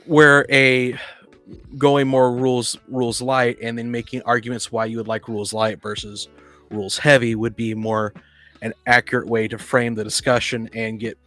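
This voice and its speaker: A man with an average-pitched voice talks in a casual podcast discussion. He feels his way through sentences, repeating and rewording as he goes. Faint music runs underneath. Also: level tone, fairly bright tone. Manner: halting, often repeats and rephrases. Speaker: podcast panelist. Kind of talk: casual discussion of tabletop roleplaying games.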